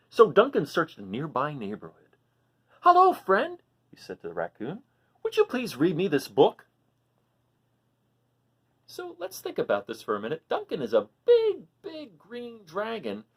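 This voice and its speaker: A woman reading a picture book aloud for children, animated and often stopping to ask the listener questions. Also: on the low side, slightly rough. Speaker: storybook reader for children.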